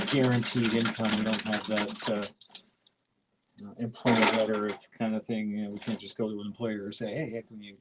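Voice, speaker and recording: Low-voiced Canadian man chatting casually. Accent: small-town Ontario Canadian. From Ontario, Canada. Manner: rambling, with many fillers and restarts. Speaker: livestream host. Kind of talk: casual livestream chat. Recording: loud background noise.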